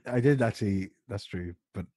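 A man's voice: fairly low pitch, normal speed, mellow, dry recording, clean studio sound. A tired man co-hosting a podcast, laid-back and joking in casual chat. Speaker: podcast co-host.

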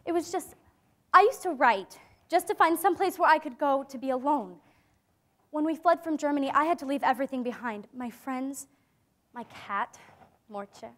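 An earnest teenage girl in a stage drama, played by a young woman. Her voice is high and youthful, and she phrases her lines deliberately, holding on to hope as someone who has lived in hiding. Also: animated, fairly bright tone. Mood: sorrowful recollection. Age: young adult. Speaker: actor in a staged reading.

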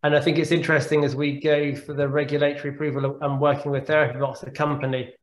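A man's voice: a little high, level tone, quick pace. Man answering questions on a video call. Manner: thoughtful, repeats words while thinking. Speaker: guest speaker, neurologist. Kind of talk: answering audience questions after a talk. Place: home room on a video call. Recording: echoey video-call audio.

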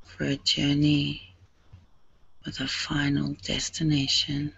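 Woman doing guided meditation, real slow n hushed, gravelly low-ish voice, bit muffled lo-fi. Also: completely flat delivery, dry recording. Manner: soothing, hushed, breathy delivery with long pauses.

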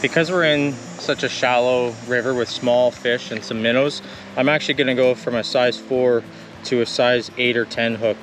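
A man with a mid-pitched voice casually explains fishing on location. His talk is easygoing and outdoors, with music clearly playing behind it. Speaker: fishing presenter. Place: outdoors by a shallow creek.

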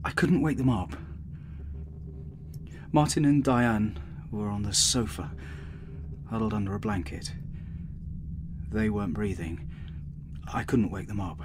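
An actor playing a lonely, desperate, grieving survivor in an audio drama, speaking in halting, broken phrases. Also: male voice, on the low side, lightly acted. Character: grief-stricken, desperate, lonely male survivor. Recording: clear background ambient noise.

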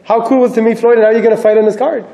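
A male boxing reporter asking quick, joking questions in a large gym while holding the camera himself. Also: very high voice. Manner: excited, joking, rapid questions. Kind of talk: casual gym interview. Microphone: handheld camera held by the reporter. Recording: echoey gym hall with crowd noise.

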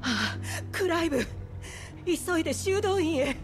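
A distressed innkeeper pleads in a very high, urgent voice. Her wording is plain and earthy, in a video game drama with faint music behind her. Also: lively intonation, measured pace. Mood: frantic, tearful. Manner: urgent, rough plain-folk phrasing.

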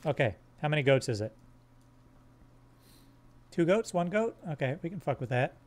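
A man's voice: a little high, moderate pace. Crude, joking male game streamer. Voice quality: monotone. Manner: crude, joking, talks to chat while managing gear. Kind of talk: live gameplay commentary.

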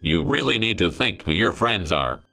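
A scheming principal with a harsh, wet voice who talks in slow, ominous tones, as a man puts him on. His low voice has a gravelly, sharp edge. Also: big pitch swings, dry recording, soft background music, full character voice. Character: sinister scheming male school principal.

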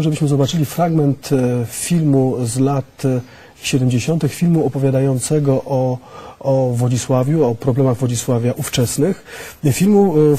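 Husky, mellow male TV interviewer. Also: medium pitch. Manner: hesitant, many fillers and restarts. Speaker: TV host. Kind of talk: studio interview.

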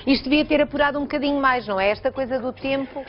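A woman's voice: high voice, very fast. A woman in her thirties with a European Portuguese accent, hosting a live TV cooking segment and chatting teasingly with her guest. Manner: chatty, teasing, plugging a phone contest. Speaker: TV show host. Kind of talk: live daytime TV cooking chat. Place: bright TV studio kitchen set. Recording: dull broadcast sound with music.

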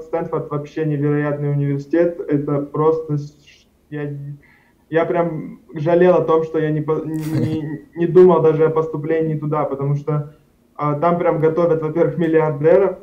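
A man's voice: fairly high pitch, level tone, normal speed. Young man on a dull video call. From Karachay-Cherkessia, Russia. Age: young adult. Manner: rambling, frequent fillers and self-corrections. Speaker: studio guest. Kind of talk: casual interview answers. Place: indoors at home, plain wall. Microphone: video call webcam.

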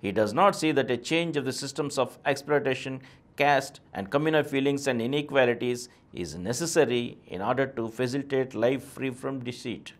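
A middle-aged man with an Indian accent, reading a scripted text aloud in a formal, deliberate way, phrase by phrase. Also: medium pitch. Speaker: presenter reading answers aloud. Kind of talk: scripted reading of a question-and-answer essay.

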